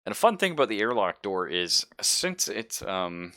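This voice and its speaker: Lively low-voiced man running a game. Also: moderate pace, no echo, clean studio sound. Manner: informal, swearing, jokey. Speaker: game master. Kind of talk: casual narrating of a tabletop horror game.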